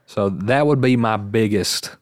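A relaxed male podcast host talks in a loose, rambling way and laughs easily. His voice sits a little low. The sound is close and dry, with no room echo. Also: unhurried. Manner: rambling, easygoing, laughs often. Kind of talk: casual podcast chat.

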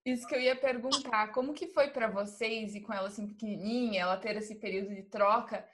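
Woman host, slightly high voice, reflective questioner. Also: fairly even intonation, a little fast, a bit bright. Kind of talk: casual interview.

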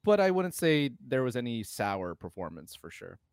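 A man with an animated, swooping delivery talks as a casual podcast co-host. He is blunt and swears freely, stressing his points with exasperation. The voice is mid-pitched and captured dry and close. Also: moderate pace, clear, resonant tone, no echo. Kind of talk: casual film discussion.

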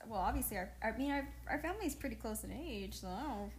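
A woman chatting casually and telling anecdotes in an animated, informal way. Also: a little high, fast talker. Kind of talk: casual chat.

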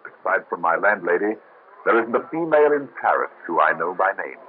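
An actor playing a grave, brooding doctor in revolutionary Paris, in an old radio drama. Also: male voice, low voice, very animated. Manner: grave, measured, then commanding shouts. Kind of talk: 1930s radio acting. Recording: tinny lo-fi old radio recording with hiss.

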